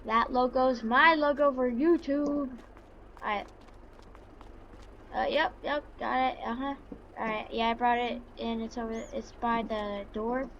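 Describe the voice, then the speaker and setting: Higher pitched woman doing laid-out slow gameplay commentary, kinda halting, muffled cheap mic w engine noise behind. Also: steady tone, a bit bright. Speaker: gaming YouTuber. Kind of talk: casual gameplay roleplay commentary. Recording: dull lo-fi mic, faint background noise. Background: vehicle engine sounds from the game.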